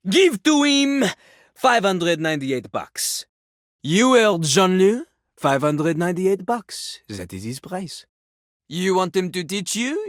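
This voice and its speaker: An actor voicing a pompous, sassy talking toilet who raps in a theatrical French accent, boasting haughtily in a video game. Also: male voice, high-pitched, fairly bright tone, no room sound, clean studio sound, heavily acted. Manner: haughty, third-person boasting, theatrical flourishes. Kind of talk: video game character voice acting, partly rapped.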